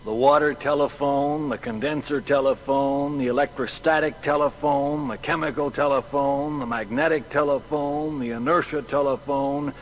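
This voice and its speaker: A man's voice: medium pitch, fairly even intonation, normal speed, few pauses, low-quality recording. A man reading out a scripted list, item by item, in a documentary.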